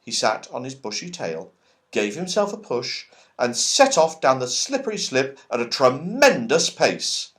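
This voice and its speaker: Animated male children's story narrator. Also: medium pitch, a little slow. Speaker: audiobook reader.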